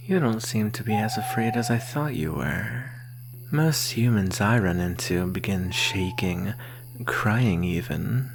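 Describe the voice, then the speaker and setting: A seductive, menacing ancient vampire played by an ASMR roleplayer with a low, gravelly voice that often sinks into a breathy whisper. The drawl is extremely slow and teasing, that of a predator toying with human prey. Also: a little hiss or hum, heavily acted. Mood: smug, curious.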